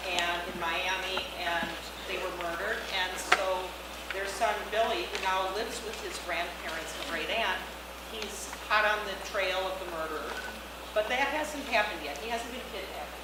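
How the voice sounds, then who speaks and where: A woman informally introducing her own screenplay to an audience as its writer. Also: mid-range voice. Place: large live hall at a stage reading. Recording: very echoey noisy hall, distant mic.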